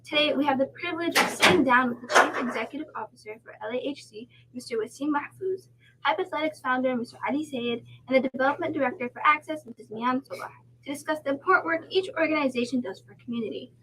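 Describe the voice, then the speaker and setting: A young woman hosts a student podcast and reads her introductions in a polished, formal way. Her voice is high and she speaks fast. A faint hiss sits under the recording. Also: bright, forceful tone, rough recording. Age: young adult. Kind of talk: scripted show introduction.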